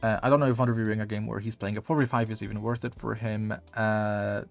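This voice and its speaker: A man's voice: fairly low pitch, fairly even intonation, fast, creaky, faint music behind. A man with a Polish accent, coaching as he reviews gameplay footage in a casual, rambling way, full of fillers and the odd swear word. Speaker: game coach.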